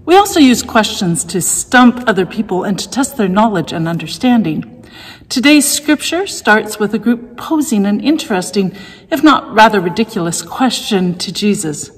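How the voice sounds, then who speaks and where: A middle-aged woman leading a church service from home, reading prayers in a calm, measured way. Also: medium pitch, slightly rough, big-room sound. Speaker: worship leader. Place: home room, indoors.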